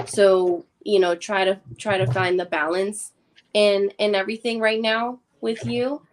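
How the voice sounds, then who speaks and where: A woman, a guest psychic on a live stream, giving reassuring readings with many pauses and ums. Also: medium pitch, level tone.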